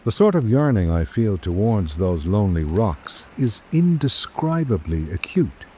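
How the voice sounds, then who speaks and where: A man playing a reflective traveller, narrating an island visit in measured literary prose for a radio drama. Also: on the low side, very animated, dark tone, slightly rough, full character voice. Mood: wistful.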